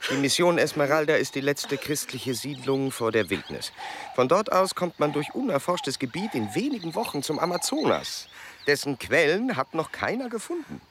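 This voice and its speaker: Formal, zealous explorer, wildly swooping voice. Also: male voice, fairly high pitch, big pitch swings, normal speed, noisy background. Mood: resolute, self-important. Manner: formal, pronouncing, precise. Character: zealous, stubborn male explorer-scientist, grandly self-assured. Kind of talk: German radio play acting.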